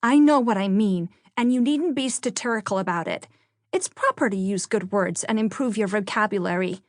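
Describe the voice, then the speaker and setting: A woman's voice: mid-range voice, normal speed, no room sound, clean studio sound, voice pushed up, close to an everyday voice. A woman voicing a prim, dramatic youngest sister, a little girl with an affected, self-important manner, in an audiobook. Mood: dignified.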